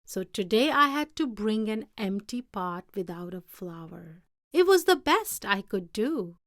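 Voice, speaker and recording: A woman's voice: mid-range voice, very animated, a little slow, a bit bright, dry recording, clean studio sound. A woman voicing an honest, downcast young boy with an earnest, pleading manner in a storybook. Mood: tearful, earnest.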